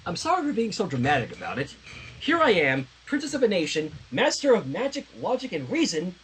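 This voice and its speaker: Man voicing a flustered, high-voiced young princess. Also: wildly swooping pitch, fairly quick, fairly bright tone, slight background noise, exaggerated voice, rough recording. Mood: apologetic, flustered.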